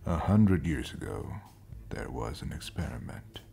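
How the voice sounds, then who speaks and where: An actor playing an ancient, brooding, homesick spacefaring captain in an audio drama, speaking solemnly and deliberately in a gravelly, breathy near-whisper. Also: male voice, a bass voice, sing-song, dark tone, soft background music, full character voice. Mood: grave recounting. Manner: slow, solemn, deliberate storytelling. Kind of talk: scripted audio roleplay drama.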